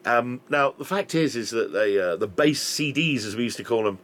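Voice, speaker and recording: A man's voice: mid-range voice, animated, normal speed, a bit bright. A middle-aged man presenting a chatty interview, enthusiastic and playful, chipping in often. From England.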